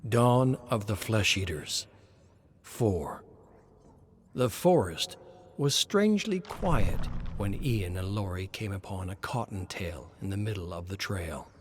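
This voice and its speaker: Slow, animated male horror story narrator. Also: lowish voice, slight background noise.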